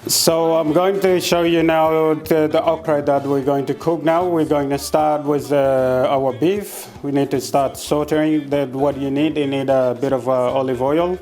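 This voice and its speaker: Warm-voiced South Sudanese man cooking. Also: on the high side, level tone, faint music behind. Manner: unhurried, hesitant, many filler pauses, friendly explaining. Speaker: home cook. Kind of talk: cooking demonstration.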